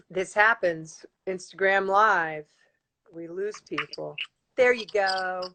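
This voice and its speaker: Slow-talking enthusiastic woman host on a video call. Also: mid-range voice, fairly bright tone. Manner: bubbly, enthusiastic, lots of ums. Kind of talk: casual live-stream interview. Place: room at home, indoors. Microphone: laptop webcam mic on a video call.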